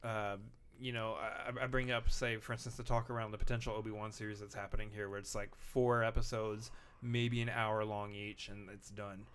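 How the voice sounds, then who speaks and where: Soft-spoken rambling man in casual chat. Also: lowish voice, flat delivery, moderate pace, clear, resonant tone. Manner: rambling, lots of fillers and restarts; not boisterous, quieter delivery. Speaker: podcast co-host. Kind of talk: casual chat on a live stream. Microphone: close microphone.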